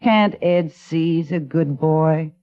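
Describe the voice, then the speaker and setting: A woman's voice: deep voice, slow pace. An actress playing a worried older widow who is raising her grandson, pleading earnestly for help in a vintage radio drama. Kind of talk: 1950s radio acting. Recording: dull lo-fi old radio broadcast.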